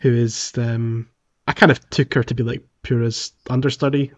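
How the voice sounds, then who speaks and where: A man co-hosting a podcast, chatting casually about a video game in a hesitant, halting way. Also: medium pitch, flat delivery, muffled recording. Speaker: podcast co-host.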